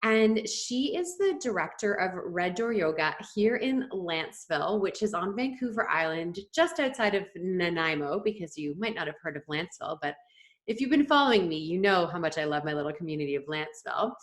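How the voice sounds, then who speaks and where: A woman's voice: on the low side, animated, brisk. Upbeat, bubbly woman hosting an interview. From Canada. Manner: enthusiastic, upbeat, laughs easily. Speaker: podcast host, interviewer. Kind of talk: casual interview chat. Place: home in a small coastal community.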